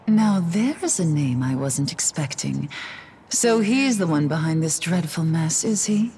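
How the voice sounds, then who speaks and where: A woman's voice: deep voice, lively intonation, measured pace, warm tone, slight background noise. A woman playing a sly, aloof, elegant schemer in a video game, silky, formal and condescending. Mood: sly, amused. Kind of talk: dramatic video game voice acting. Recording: music behind the voice.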